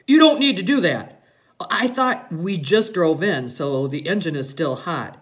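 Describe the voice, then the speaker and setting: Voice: mid-range voice, animated, a little slow, pitched above the performer's own voice, natural voice. A narrator as a hearty, commanding military father. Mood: stern, commanding. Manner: big-voiced, hearty, authoritative. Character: commanding, jovial naval officer father.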